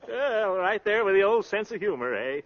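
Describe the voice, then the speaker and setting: An actor playing a boastful, breezy American husband, a glib, slangy schemer in a 1930s radio comedy-drama. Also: male voice, high voice, heavily acted. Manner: glib, pompous, peppered with slang and nicknames. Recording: dull lo-fi old radio recording.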